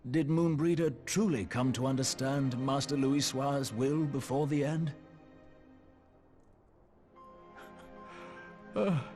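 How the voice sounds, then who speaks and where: Guy doing a sad solemn scholar from a fantasy game, old timey formal speech, really slow, music behind. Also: medium pitch, a little mellow, natural voice. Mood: grieving. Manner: measured, grave, theatrical archaic diction. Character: solemn, grieving male scholar speaking in archaic, formal English. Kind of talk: video game cutscene acting.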